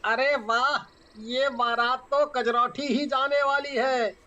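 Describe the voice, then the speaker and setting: Excitable young cartoon guy, played by a man, extremely high voice, sharp and bright, exclamatory. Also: slow pace, muffled recording, full character voice. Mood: puzzled. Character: excitable young male friends on a night journey. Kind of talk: cartoon story dialogue.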